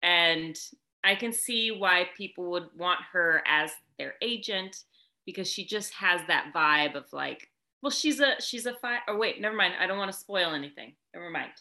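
Bright, bubbly woman co-host. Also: fairly low pitch, moderate pace. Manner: bubbly, rambling, trails off mid-thought. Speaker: podcast co-host. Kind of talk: casual chat.

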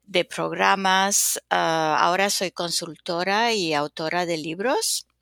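Slow, gravelly older woman. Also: fairly low pitch, a bit bright, dry recording, studio quality. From North Africa, then Spain. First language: French. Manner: slow, thoughtful, with many hesitations. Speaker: guest author and consultant. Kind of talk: podcast interview.

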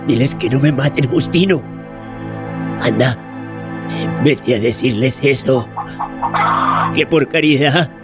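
An actor playing a frail old peasant prisoner who begs haltingly for his life in a radio drama, with music behind him. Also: mid-range voice, lightly acted. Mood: desperate begging. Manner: pleading, halting, repetitive.

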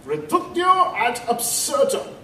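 A brooding, pompous male vampire of learning, played by a man for an audio drama. His voice sits high and moves about a lot, with formal, deliberate phrasing, heard at some distance over faint noise. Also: wildly swooping pitch, pushed and bright, reverberant, full character voice. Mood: pedantic.

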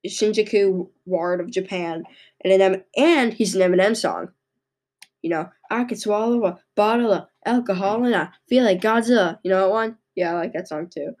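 A young woman with a cold and a hoarse voice, hosting a podcast and reading her notes in a halting way, often stumbling and restarting. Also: medium pitch, a little mellow. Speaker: podcast host. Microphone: microphone into a laptop.

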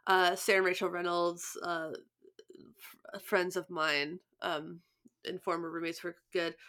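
A woman's voice: lowish voice, level tone, unhurried, a bit bright. A young adult woman co-hosting a podcast, chatting casually and rambling through stories with many restarts, sounding stuffed up with sniffles. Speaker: podcast co-host.

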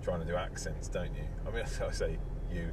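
A fairly low-voiced Englishman rambles through a casual podcast monologue from inside a moving car. He plays with silly words and drifts between tangents. Loud road noise rumbles under him, and he sounds a little distant from the mic. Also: fairly quick. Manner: playful, wordplay, tangents. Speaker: podcast host. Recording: noisy car interior.

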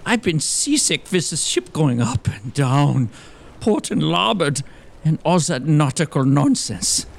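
A man playing a fussy, excitable young lawyer in a comic audio drama, with a fretful, theatrical delivery. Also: on the high side, a little slow, creaky, heavily acted. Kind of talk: gothic comedy audio drama dialogue.

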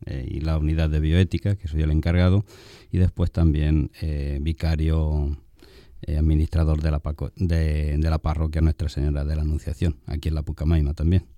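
Deep, very mellow-voiced Spanish priest. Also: male voice, little pitch movement, moderate pace, no echo. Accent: Castilian Spanish, from Spain. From Albacete, La Mancha, Spain. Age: 50s. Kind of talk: reflective conversational interview answers.

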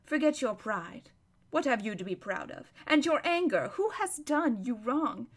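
A woman voicing an immense, solemn male lion in a storybook, merciful and quietly commanding, giving grave, deliberate warnings. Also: fairly high pitch, very animated. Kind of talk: storybook read-aloud.

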